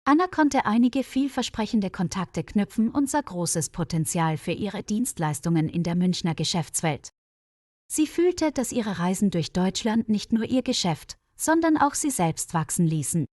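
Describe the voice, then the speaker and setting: Female narrator for learner audio stories: mid-pitched, measured scripted read, dry recording. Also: sing-song, darkish tone, no room sound.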